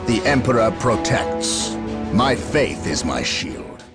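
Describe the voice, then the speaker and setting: Pious sci-fi war zealot, declaiming, fairly low and animated over loud music. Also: male voice, slow, exaggerated voice. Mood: zealous. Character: zealous imperial warrior-preacher.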